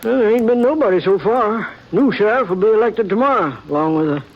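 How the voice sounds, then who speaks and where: A chuckling folksy old frontier doctor. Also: male voice, high-pitched, animated, a little fast. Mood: easygoing. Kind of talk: 1950s radio drama acting. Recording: dull lo-fi old radio transcription.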